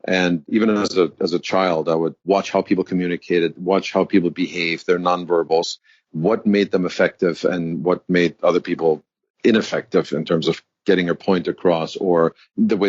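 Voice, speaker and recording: A man's voice: low-pitched, fairly even intonation, fairly quick, darkish tone, no echo. A man in his fifties from Germany, speaking, who tells his story as a guest executive coach in a reflective, articulate way. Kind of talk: interview conversation. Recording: dull, dry sound.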